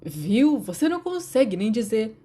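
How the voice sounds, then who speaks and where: A bashful teenage boy, played by a woman narrator in an audiobook. He is dry and deadpan, easily embarrassed, with a mellow tone. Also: on the high side, normal speed, breathy edge, voice pushed up. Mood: dry, exasperated. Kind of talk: audiobook character dialogue.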